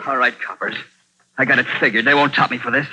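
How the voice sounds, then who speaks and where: An actor playing a defiant, rough-voiced cornered killer in a 1940s radio crime drama. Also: male voice, mid-range voice, fairly even intonation, fast talker, sharp tone, poor audio. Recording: vintage 1940s radio recording.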